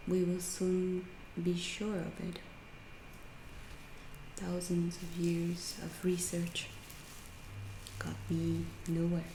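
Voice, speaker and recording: A woman playing a calm, curious alien scientist in an ASMR roleplay, speaking softly and often in a whisper, with a detached, clinical, reassuring manner. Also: on the low side, slow pace, long pauses. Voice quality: soft, breathy, often whispered. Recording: roomy echo, clear background noise.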